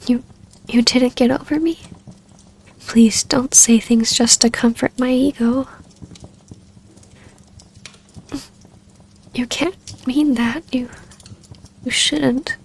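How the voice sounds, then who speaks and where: A woman playing a tender, yearning vampire baroness in an intimate ASMR roleplay, speaking softly and breathily with hesitant, formal, old-fashioned phrasing and often sinking toward a whisper. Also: sits high, fairly even intonation, slow, creaky, long pauses, a little hiss or hum, full character voice. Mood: disbelieving, moved.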